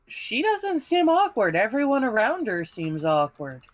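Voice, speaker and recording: A woman's voice: a little high, wildly swooping pitch, measured pace. A woman panelist giving casual, offhand reactions in conversation. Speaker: podcast panelist.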